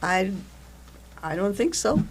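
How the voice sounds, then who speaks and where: An older woman who coordinates events for a theater group, talking casually and haltingly in an interview. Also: medium pitch, sing-song, a crowd in the background.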